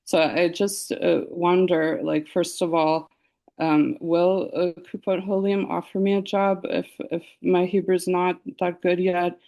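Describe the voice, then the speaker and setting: A woman's voice: fairly low pitch, little pitch movement, unhurried, vocal fry, a little mellow. A woman doctor asking a long question in a webinar over a video call, hesitant and full of restarts. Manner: hesitant, many restarts and fillers.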